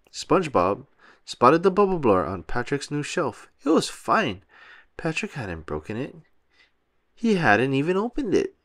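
A man reads a children's story aloud in an expressive, very animated way. His pitch is medium and moves a lot. The sound is close and dry. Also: dry recording. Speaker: storybook reader.

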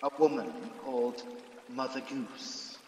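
A man's voice: mid-range voice, slow pace, darkish tone, big-room sound, a little hiss or hum, close to an everyday voice. An actor playing a scheming, boastful Demon King villain in a radio pantomime, with a grandiose, theatrical delivery. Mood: grand, incanting.

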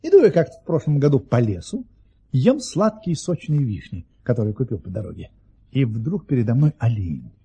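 An actor playing a genial, unflappable baron who spins tall tales with mock-earnest theatrical relish in an audio play. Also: male voice, medium pitch, big pitch swings, normal speed, dark tone, husky, full character voice. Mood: storytelling. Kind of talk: Russian audio play acting, storytelling.

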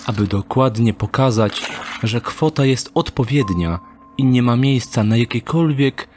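A man narrating an audio drama in a measured, descriptive way. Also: medium pitch, animated, a little mellow, slight background noise.

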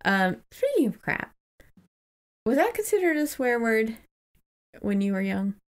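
A woman's voice: a little high, very animated, measured pace. Woman casually retelling a story, irreverent. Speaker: podcast co-host.

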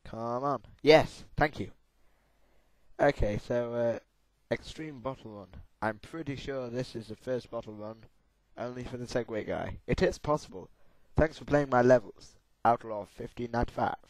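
A hoarse young man with a sore throat, giving excitable let's-play commentary while gaming. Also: medium pitch, normal speed, no room sound, low-quality recording. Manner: excitable, yelps and laughs at crashes. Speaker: gamer.

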